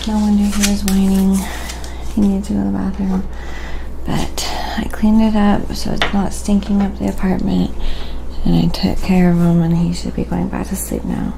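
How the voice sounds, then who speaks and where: A woman hosting a casual ASMR painting livestream from home, chatting informally in a soft-spoken way over background noise. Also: medium pitch, little pitch movement, normal speed. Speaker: live-stream host and painter. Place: at home in an apartment. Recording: clearly audible background noise.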